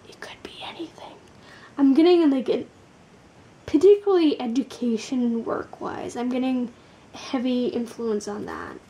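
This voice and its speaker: A woman doing a casual, blunt tarot card reading, tired, with a gravelly, sleepy voice, swearing casually and using lots of fillers. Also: on the high side, sing-song, measured pace, mellow.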